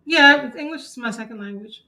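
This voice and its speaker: A woman's voice: on the high side, brisk, bright, forceful tone. A woman co-hosting a podcast, chatting and joking casually. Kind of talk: casual chat.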